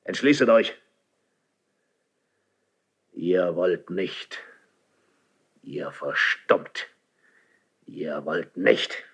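Proud, lonely monarch, imperious and distrustful; deliberate classical verse delivery for radio theatre, unhurried. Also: male voice, sits low, animated, fairly bright tone, husky, heavily acted. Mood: low, contemptuous. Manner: declamatory, commanding. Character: proud, suspicious, imperious king, lonely and wrathful. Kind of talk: 1970s German radio play, classical verse acting.

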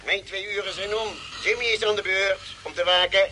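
High, sharp expedition doctor. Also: male voice, fairly even intonation, brisk. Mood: calm, businesslike. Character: brisk, dutiful male expedition doctor calling crewmates over intercom. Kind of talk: 1950s radio drama acting. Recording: old radio recording, faint hiss.

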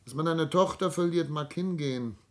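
An older man acting in a German radio play as a cultured, melancholy widowed patriarch, speaking in deliberate, theatrical, literary phrases. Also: fairly high pitch, close to an everyday voice. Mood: bitter, uneasy.

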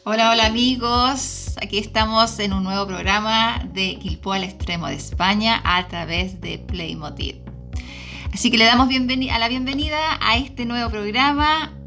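A woman's voice: on the high side, normal speed, pushed and bright, soft background music. A woman with a Chilean Spanish accent, hosting a travel podcast in a casual, informal, chatty way. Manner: chatty, informal, prompts her guest with questions. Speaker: podcast host.

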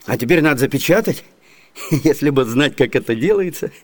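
A man's voice: mid-range voice, expressive, moderate pace, close to an everyday voice. A male actor playing a scatterbrained, crafty, hungry servant in a radio comedy, stammering and wheedling in a theatrical way. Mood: musing. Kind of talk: theatrical 1980s Soviet radio comedy acting.

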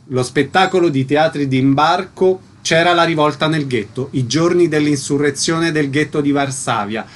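A man's voice: a little high, moderate pace. A man presenting on radio, reading scripted announcements.

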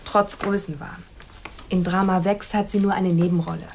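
An actress playing an analytical police profiler in a radio drama, speaking in a measured, formal, clinical way. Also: female voice, fairly low pitch, flat delivery, darkish tone, a little hiss or hum, natural voice. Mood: clinical, assured. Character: analytical police profiler presenting a personality assessment. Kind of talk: German radio drama acting.

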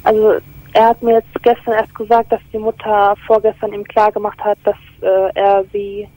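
A twenty-year-old woman calling in to a radio show by phone, talking hesitantly and softly with many fillers. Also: mid-range voice, flat delivery, normal speed. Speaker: caller. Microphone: telephone. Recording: tinny phone line.

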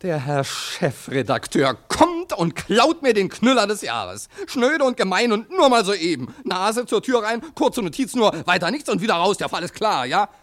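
An actor playing an excitable, bossy local newspaper editor in a German radio play, blustering and impatient, often stopping and starting as he thinks aloud. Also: sits high, normal speed, hardly any pauses. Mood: indignant, whining.

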